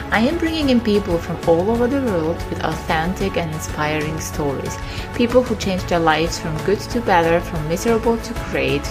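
A woman podcast host reading an upbeat scripted intro and then asking her guest questions. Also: fairly low pitch. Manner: upbeat, read-aloud delivery.

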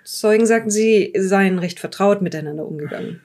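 A woman playing a methodical police investigator, questioning formally and gently pressing in an improvised audio drama interrogation. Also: mid-range voice, fairly quick, close to an everyday voice.

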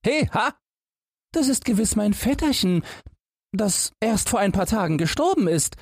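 A man's voice: sits high, very animated, unhurried, slightly rough, dry recording, clean studio sound, voice pushed up, exaggerated voice. A man voicing a fearless, naive and cheerful young lad in a storybook tale, perky and cheeky. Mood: gleeful, calling. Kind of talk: storybook character voice.

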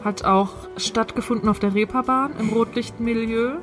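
A woman's voice: fairly high pitch, fairly even intonation, moderate pace, soft background music. A woman co-hosting a podcast in casual chat, telling anecdotes in a chatty way. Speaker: podcast co-host.

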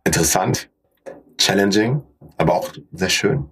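A man's voice: sits low, lively intonation, moderate pace, a bit bright, slightly rough. A young man from Germany answering conversationally as a podcast guest, dropping English business words into his speech. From Paderborn, Germany. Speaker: podcast guest, startup founder.

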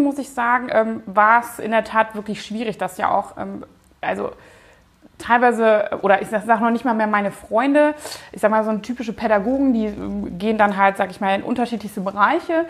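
A woman's voice: fairly high pitch, fairly quick. A woman, giving casual, informal interview answers, often hedging and thinking aloud as she looks back. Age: 30s. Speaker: company founder and managing director, interview guest.

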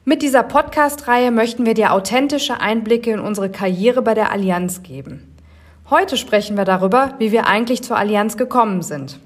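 A woman in her forties from Germany, hosting a podcast and telling her career story in a clear, measured way. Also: fairly high pitch. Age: 40s. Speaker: podcast host. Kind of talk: scripted podcast narration.

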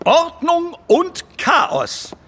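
In a long, ringing hall, a pompous gallery guide for a children's radio play. His high voice swoops as he lectures with arrogant, condescending self-importance. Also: big pitch swings, extremely slow, a bit bright, heavily acted. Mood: pompous lecturing. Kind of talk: children's audio drama acting.

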